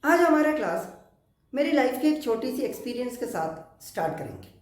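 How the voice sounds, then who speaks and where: A man from Kerala, teaching a spoken English grammar lesson in a slow, deliberate way with many English terms. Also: very high voice, sing-song. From Kerala, India. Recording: echoey room.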